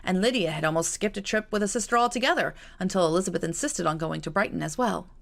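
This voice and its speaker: A woman's voice: fairly low pitch, fast talker, gravelly. A woman reading her own novel as scripted audiobook narration.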